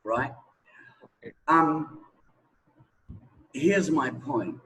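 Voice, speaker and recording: A man's voice: on the high side, animated, drawn-out words, fairly bright tone. A man being interviewed as a language teacher, explaining things conversationally and didactically, often checking that the listener follows. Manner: didactic, checking with "right?", "okay?", "yeah?".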